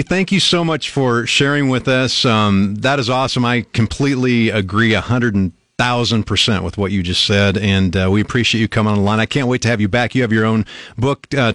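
A man's voice: fairly low pitch, fairly bright tone, dry recording, studio quality. A man hosting a radio talk show, upbeat and easygoing as he thanks a caller and reads out listener messages.

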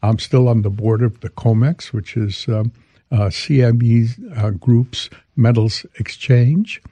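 A man in his eighties from Hungary, speaking haltingly as he reminisces as an interview guest. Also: medium pitch, steady tone, dark tone, husky, no room sound. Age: 80s. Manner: halting, hesitant delivery. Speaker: interview guest, veteran commodities exchange trader.

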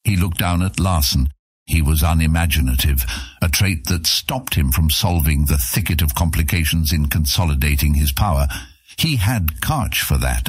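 Male audiobook narrator, low voice, lively intonation, dramatic fantasy storytelling, dry studio sound. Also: breathy edge, dry recording.

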